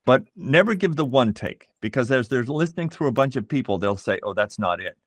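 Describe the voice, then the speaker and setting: A middle-aged man being interviewed as a voice actor, explaining things in a measured, reflective way and pausing to find his words. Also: mid-range voice, expressive, dry recording.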